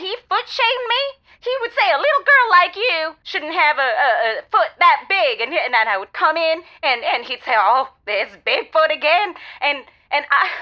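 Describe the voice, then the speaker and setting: A woman playing an aggrieved, weepy ex-girlfriend calling a comedy radio show, halting and dramatic, on a dull phone-in line. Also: extremely high pitch. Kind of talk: improvised comedy radio call-in acting.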